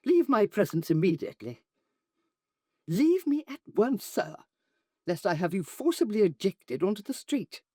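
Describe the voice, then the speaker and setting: A woman's voice: medium pitch, big pitch swings, moderate pace, dark tone, husky, no echo, clean studio sound. A woman playing a haughty, indignant upper-class lady in a period audio drama, with imperious, formal delivery.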